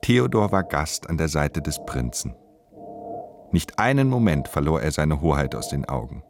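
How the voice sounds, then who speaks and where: Very deep male radio-play narrator. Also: sing-song, moderate pace, background noise.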